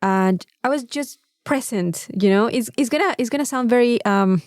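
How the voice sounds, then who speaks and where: A woman's voice: a little high, normal speed, darkish tone, no room sound, clean studio sound. A woman with a Mexican accent and Spanish as her first language, chatting casually as a podcast co-host. She is effusive and repeats words for emphasis. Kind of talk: casual chat, anecdotes.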